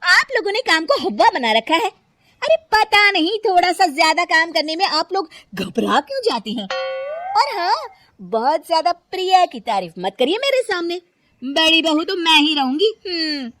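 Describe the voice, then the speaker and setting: A woman voicing a lazy, brazen, whining elder daughter-in-law in a cartoon story, loud and dramatic. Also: very high-pitched, lively intonation, a little slow, no room sound, studio quality, pitched above the performer's own voice, exaggerated voice. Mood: huffy.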